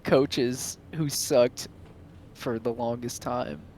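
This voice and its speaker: A man's voice: medium pitch, fairly even intonation, extremely slow, darkish tone, slight background noise. A man co-hosting a podcast, talking sports informally with friends. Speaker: podcast co-host. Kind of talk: casual sports chat with friends.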